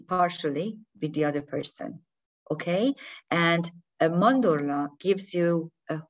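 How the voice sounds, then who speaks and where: A woman speaking English as a later-learned language, giving an unscripted spiritual talk to viewers, often stopping, restarting and searching for words. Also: on the low side. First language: not English. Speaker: spiritual teacher.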